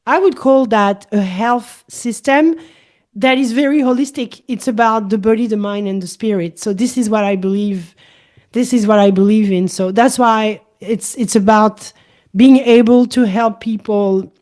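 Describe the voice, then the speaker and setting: A woman's voice, a little higher than most, speaking English with a clear French accent. She talks as a middle-aged holistic coach in a relaxed interview and explains her views thoughtfully. She often comes back to the same point in slightly different words. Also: moderate pace. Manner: thoughtful, explanatory, repeats key phrases. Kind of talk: casual interview chat.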